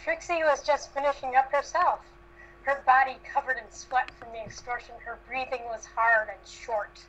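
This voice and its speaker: A woman's voice: high-pitched, steady tone, moderate pace, a bit bright, a little hiss or hum, rough recording. A woman narrating fan fiction aloud as an amateur reader.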